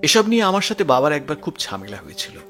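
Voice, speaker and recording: An actor playing a grieving, lonely grown son in an audio detective drama, speaking earnestly and with emotion. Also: male voice, fairly high pitch, sing-song, fast talker, a bit bright, soft background music. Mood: earnest, subdued. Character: grieving, lonely grown son recounting his murdered parents' past.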